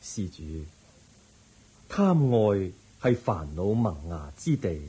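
Dark-voiced, slow, serene Buddha. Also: male voice, lowish voice, breathy edge, full character voice. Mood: calm, solemn. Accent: Hong Kong Cantonese. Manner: measured, calm, didactic. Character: serene, solemn male Buddha preaching wisdom to householders. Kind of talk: solemn radio drama preaching.

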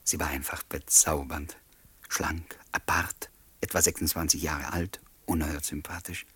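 Casting: reflective, polite flatmate-narrator for radio drama; low husky voice, dry studio sound. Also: male voice, measured pace, dry recording, full character voice. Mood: courteous, charmed. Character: courteous, pensive male flat-sharer narrating his memories. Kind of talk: German radio play narration and dialogue.

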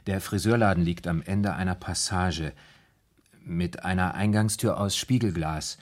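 An actor in a 1970s German radio drama playing a tormented, anxious man who haltingly confesses what haunts him, in a husky voice. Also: sits low, steady tone. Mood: uneasy. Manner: halting, haunted confessional storytelling with broken phrases.

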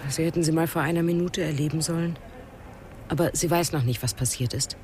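A woman's voice: low voice, fairly even intonation, brisk, natural voice. An actress playing an earnest, intense art lecturer and mother in a naturalistic radio drama. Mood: guarded. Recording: loud vehicle cabin noise behind. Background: airplane cabin drone.

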